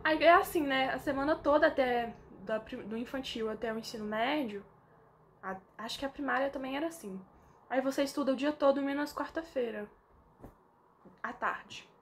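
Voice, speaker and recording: A woman's voice: high voice, normal speed, slight background noise. A young Brazilian woman with a Brazilian Portuguese accent, chatting casually to camera in an informal vlog with lots of fillers. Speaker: vlogger.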